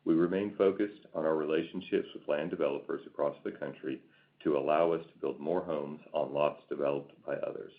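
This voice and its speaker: A man's voice: very deep voice, steady tone, a little fast. A man reading a scripted financial report as a company executive on a conference call. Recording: tinny phone-like conference line.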